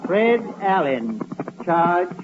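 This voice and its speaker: An actor playing a formal court clerk in 1940s radio comedy, announcing in a slow, drawn-out drone. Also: male voice, sits high, sing-song, over music, exaggerated voice. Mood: officious. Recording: noisy, dull vintage radio recording.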